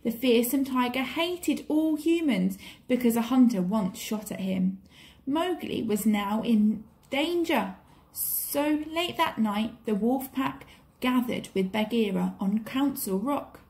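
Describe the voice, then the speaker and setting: Lady teacher reading kids a story, fairly high voice, expressive. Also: slow pace.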